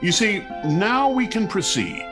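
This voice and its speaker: Animated radio narrator over loud music. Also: male voice, high-pitched, a little slow, full character voice. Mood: confiding. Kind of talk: 1940s radio sitcom narration.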